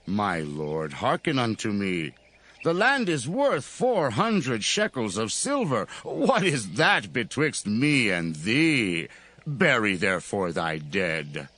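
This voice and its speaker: Courteous ancient landowner, generous, very animated pitch, slow emphatic phrasing, scripture drama. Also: male voice, exaggerated voice. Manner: courtly, emphatic, drawn-out delivery.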